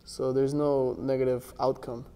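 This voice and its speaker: Young male athlete, relaxed and halting, flat gravelly delivery, roomy gym reverb. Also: medium pitch. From born in Miami, raised in Ecuador and Texas. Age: young adult. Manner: soft-spoken, relaxed, with frequent "like" and restarts. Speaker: interview guest, jiu-jitsu athlete. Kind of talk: casual interview answers. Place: gym with large windows, indoors. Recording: long reverb from a large room.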